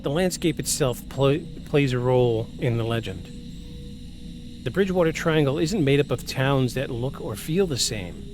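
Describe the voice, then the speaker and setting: A man in his forties hosts a podcast in an ordinary mid-range voice. He moves between scripted narration and loose, self-mocking banter. Clear music plays under him. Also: medium pitch, animated, normal speed. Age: 40s. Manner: self-deprecating, joking banter. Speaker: podcast host. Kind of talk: scripted narration and casual chat.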